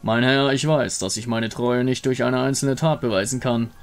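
Ein Mann spricht ein untotes Schattenwesen, unterwürfig, förmlich und hinterhältig, in einem Fantasyspiel. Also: male voice, medium pitch, steady tone, moderate pace, faint music behind, natural voice. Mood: servile. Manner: obsequious, formal. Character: sly servile undead wraith, treacherous schemer.

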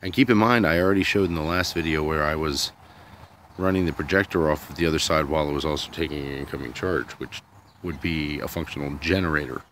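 A man's voice: very deep voice, quick pace, a bit bright, slight background noise. A man vlogging outdoors in a sunny yard, thinking aloud in a rambling, unsure way while filming on a phone. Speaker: DIY vlogger. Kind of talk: casual thinking-aloud commentary.

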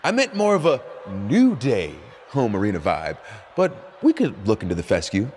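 A man's voice: on the low side, wildly swooping pitch, moderate pace, darkish tone. A man playing a level-headed, sardonic pro wrestler in a video game, reasoning with some disbelief. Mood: dry. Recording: echoing arena, faint noise.

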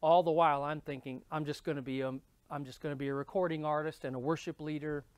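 A man's voice: a little high, steady tone, fast, smooth, dry recording. A man in his fifties, a minister, telling his story and explaining in an earnest, conversational way, recorded on a lapel mic. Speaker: minister and church leadership speaker. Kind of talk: interview-style storytelling and explaining. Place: indoor studio with dark backdrop.

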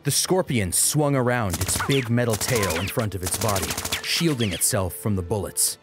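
Animated male audiobook narrator. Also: lowish voice, normal speed, background noise. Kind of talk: dramatic scripted narration of action story.

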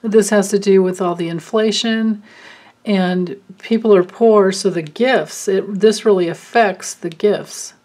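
A woman doing a card reading, thinking aloud with many pauses and fillers. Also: mid-range voice, darkish tone. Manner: slow, musing, thinking aloud. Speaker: card reader. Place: indoors at a table, hands and cards filmed from above.

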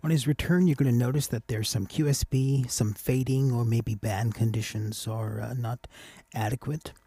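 A man giving a casual, measured instructional voice-over as an amateur radio operator hosting a tutorial. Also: mid-range voice, fairly even intonation, warm tone, breathy edge.

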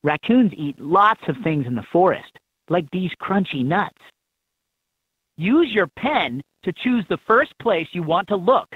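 A man's voice: high voice, animated, a little slow, slightly rough, no room sound, full character voice. A man voicing an upbeat helper who gives scripted instructions in a children's educational game. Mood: upbeat, instructive. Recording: tinny, band-limited handheld game audio clips.

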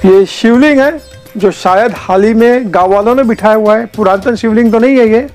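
A man's voice: high voice, normal speed, darkish tone, soft background music. A man, vlogging and explaining in a chatty way on a lapel mic, mixing English words in. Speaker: travel vlogger. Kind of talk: casual vlog narration.

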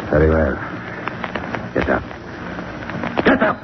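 An actor playing a cold, menacing foreign villain, speaking slowly and deliberately in 1940s radio drama style. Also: male voice, a bass voice, wildly swooping pitch, heavily acted. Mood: angry threatening. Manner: slow, deliberate, threatening. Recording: muffled, noisy 1940s radio recording.

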